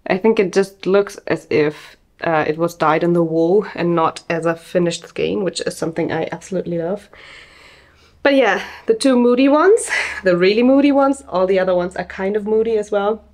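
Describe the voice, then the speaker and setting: Chatty woman, slightly sniffly, low-pitched. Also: a little mellow. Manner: chatty, hesitant, many fillers and restarts. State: slightly sniffly from hay fever. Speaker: yarn dyer presenting her collection. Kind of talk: casual video presentation.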